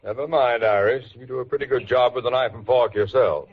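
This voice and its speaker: A man's voice: mid-range voice, fairly quick, natural voice. A man playing a jovial, drily joking husband who is a dinner guest in a 1940s radio comedy. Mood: hearty. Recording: muffled, slightly noisy old radio.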